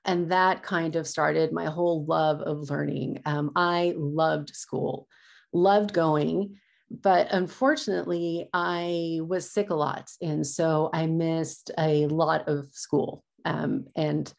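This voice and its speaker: Low, gravelly-voiced woman with hesitant speech. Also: fairly even intonation, slow. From California, USA. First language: Spanish. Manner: hesitant, many ums and uhs. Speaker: award recipient, assistant professor. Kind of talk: personal acceptance speech.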